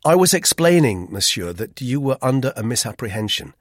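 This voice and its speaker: A man voicing a formal, firm gentleman who speaks in measured, severe phrases in an audiobook. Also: on the high side, very animated, slightly rough, dry recording, clean studio sound, voice pushed up, exaggerated voice. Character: formal, severe first-person hero, smooth-talking peacemaker.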